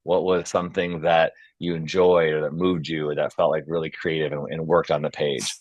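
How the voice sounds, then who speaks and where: A man's voice: very deep voice, level tone, normal speed, no room sound, highs cut off. A man hosting and narrating a script table read in an informal style. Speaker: host and stage-direction reader. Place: video call.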